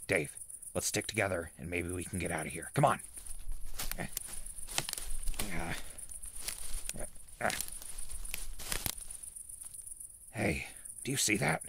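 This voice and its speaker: Man acting a jittery, gravelly wasteland radio host. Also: deep voice, fairly bright tone, dry recording, heavily acted. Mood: nervous, relieved. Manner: rambling, dramatic, with hesitant pauses. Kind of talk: audio drama acting as recorded diary.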